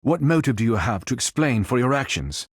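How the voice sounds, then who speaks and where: A man playing a calm, noble android superhero in a video game, speaking in formal, precise, stilted robotic phrases. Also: mid-range voice, brisk, breathy edge, dry recording, clean studio sound. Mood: measured, analytical. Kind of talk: video game character voice lines.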